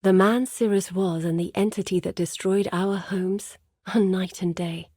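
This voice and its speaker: A woman voicing an earnest, wary scholar and guide in a dark fantasy video game, speaking gravely in articulate, formal phrases. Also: mid-range voice, steady tone, measured pace, dry recording, clean studio sound, lightly acted. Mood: sorrowful.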